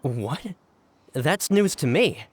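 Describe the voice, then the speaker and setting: A man's voice: fairly high pitch, expressive, takes it slowly, a little hiss or hum. An actor playing an earnest, easily flustered young male subordinate in a video game, emphatic and eager to report. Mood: incredulous, insistent. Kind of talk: voiced video game dialogue.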